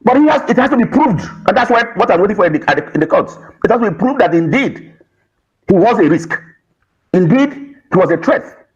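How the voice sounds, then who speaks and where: Nigerian guy on a tv panel, really animated pitch, keeps repeating points hard, slightly dull lo-fi sound. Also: fairly quick, breathy edge. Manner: emphatic, repetitive, with rhetorical questions. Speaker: panel guest on a political TV talk show. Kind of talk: political panel argument.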